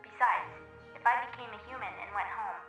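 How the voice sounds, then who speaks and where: A woman playing a serene, all-knowing child spirit in a comic dub, speaking in a calm, measured, patient way through an echoing, distant filter. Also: mid-range voice. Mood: gentle, reflective. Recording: echoing, distant, music underneath.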